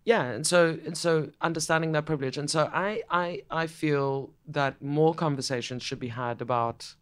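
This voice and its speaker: A woman's voice: low-pitched, moderate pace, clear, resonant tone. Frank South African woman hosting. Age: middle-aged. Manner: frank, swears, repeats words while thinking. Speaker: podcast host. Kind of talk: casual interview chat. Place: room in a coworking space.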